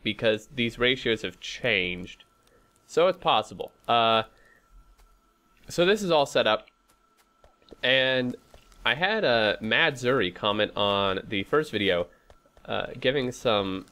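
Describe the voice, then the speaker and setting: Man, lowish pitch, congested with a cold, casual game commentary, rambling, thinking aloud. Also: bright, forceful tone. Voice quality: stuffy, congested nose. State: sick with a head cold. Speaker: host of a gaming playthrough series.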